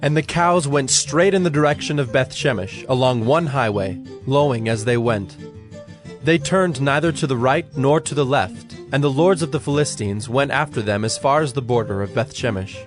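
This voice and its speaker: A man narrating scripture from a script in a measured, solemn storytelling manner. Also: medium pitch, lively intonation, over music.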